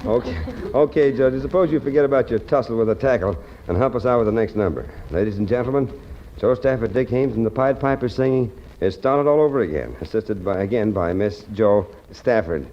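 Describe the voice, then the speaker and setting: A man's voice: mid-range voice, lively intonation, brisk. An American man hosting a 1940s radio variety show, delivering announcer patter. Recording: dull, noisy 1940s radio broadcast, audience.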